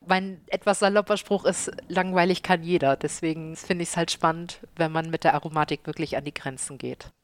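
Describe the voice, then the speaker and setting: Woman in her 30s, Hamburg accent, chef, slightly low voice, eager and chatty in interview. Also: brisk, hardly any pauses, no echo. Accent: Hamburg German. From Hamburg, Germany. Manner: lively, enthusiastic storyteller. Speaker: chef and restaurant owner. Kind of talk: casual interview answers.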